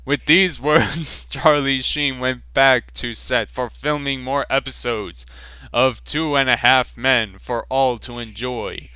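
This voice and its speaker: Slow, bright-voiced male reader. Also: medium pitch, clear, resonant tone, dry recording. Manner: slow mock-serious reading, breaking into laughs. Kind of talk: amateur fanfiction reading.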